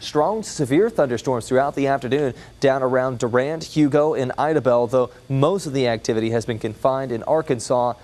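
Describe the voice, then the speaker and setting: Male American TV weather forecaster, mid-range pitch, conversational on-air report delivery. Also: brisk, few pauses, a little mellow. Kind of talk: ad-libbed broadcast weather report.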